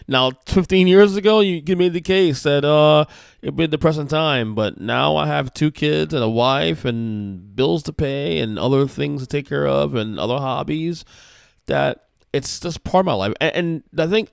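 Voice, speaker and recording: A man's voice: medium pitch, creaky, no room sound, studio quality. A man in his forties hosting his own podcast, chatting casually and rambling, often correcting himself mid-sentence. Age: 40s. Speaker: podcast host. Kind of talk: casual solo sports talk.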